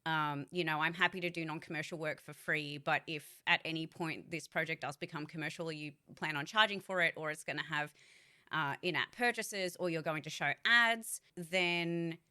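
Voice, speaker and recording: Bright-voiced chatty young woman streamer. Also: sits low, normal speed, clear, resonant tone. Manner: chatty, blunt, swears freely, asks chat questions. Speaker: voice actor and streamer. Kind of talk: casual livestream chat to viewers.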